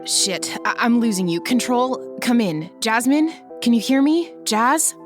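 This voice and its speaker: A woman's voice: fairly high pitch, expressive, measured pace. A woman playing a blunt, sweary, determined government invigilator in an urgent crisis, in an audio drama. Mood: urgent, alarmed. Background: music.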